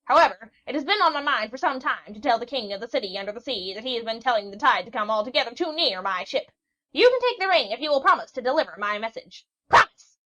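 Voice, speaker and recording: A woman's voice: big pitch swings, quick pace, pushed and bright. A woman voicing a cranky ancient sea witch who shrieks, scolds and rambles in a storybook reading. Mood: shrill scolding. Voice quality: shrill, screeching. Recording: dull lo-fi recording.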